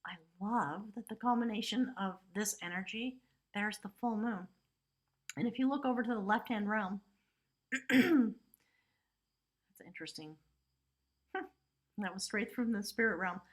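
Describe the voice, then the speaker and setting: A woman's voice: medium pitch, unhurried, long pauses. A woman giving a casual spiritual tarot reading, talking chattily with lots of "you know" and "right?" asides. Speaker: tarot reader.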